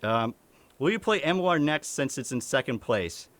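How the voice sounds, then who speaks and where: A man's voice: medium pitch, lively intonation, moderate pace, a bit bright, no room sound. A young man with a light Maine accent, a streamer casually answering chat questions at home, chuckling often and swearing casually. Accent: light Maine (New England).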